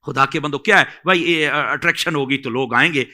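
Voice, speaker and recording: A man's voice: fairly high pitch, fairly quick, pushed and bright. A man with a Pakistani accent giving religious advice in conversation as an Islamic scholar, emphatic and admonishing, often asking rhetorical questions.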